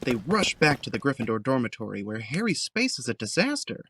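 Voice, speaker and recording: A man's voice: medium pitch, very animated, fairly quick, fairly bright tone, a little hiss or hum. A man narrating an audio drama in a scripted, scene-setting style.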